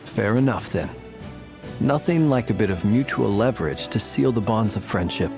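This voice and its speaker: A man's voice: on the low side, expressive, normal speed, music in the background. A man playing a shrewd, easygoing fantasy game character with a dry, knowing, teasing manner. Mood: wry, knowing. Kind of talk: video game character acting.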